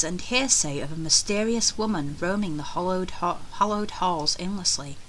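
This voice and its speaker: A woman's voice: mid-range voice, a bit bright, a little hiss or hum, poor audio. A woman hosting a gaming video, reading story text aloud and dropping in casual reactions over game music.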